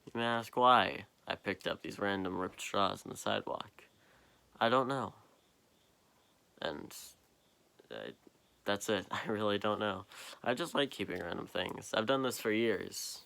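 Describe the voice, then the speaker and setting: A tired young man with a deep voice, rambling slowly in a late-night vlog, halting and full of fillers, recorded on a propped-up phone in a quiet basement. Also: gravelly. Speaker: vlogger. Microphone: phone propped up nearby.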